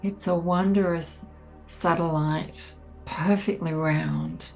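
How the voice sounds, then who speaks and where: A woman leading a guided meditation, speaking gently and in a measured way with long pauses between soothing instructions. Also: on the low side, steady tone, gravelly. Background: soft ambient meditation music.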